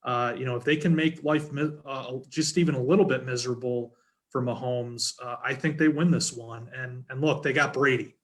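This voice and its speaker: A man giving informal sports analysis as a panelist, in a halting way, repeating words as he builds his point. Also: mid-range voice, fairly even intonation.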